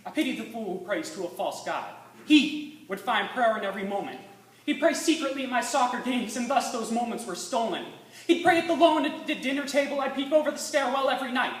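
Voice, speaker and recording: A teenage boy reciting slam poetry dramatically, intense and emphatic, moving between hushed lines and forceful ones. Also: very high voice, fairly quick. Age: teens. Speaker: student slam poet. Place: live stage in a room with an audience. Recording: echoey room, distant mic, faint noise.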